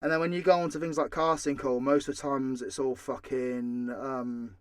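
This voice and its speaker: A man's voice: mid-range voice, little pitch movement, moderate pace, clear, resonant tone, no room sound, studio quality. A British man answering fan questions in a casual, unscripted chat, blunt and informal with plenty of swearing. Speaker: voice actor and channel creator answering fan questions.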